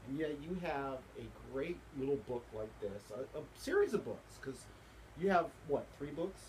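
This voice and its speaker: A man's voice: fairly high pitch, sing-song, moderate pace, a little mellow, poor audio. A man conducting an informal interview, speaking in an opinionated, emphatic way and repeating phrases for effect. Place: inside a bookstore. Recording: faint background noise.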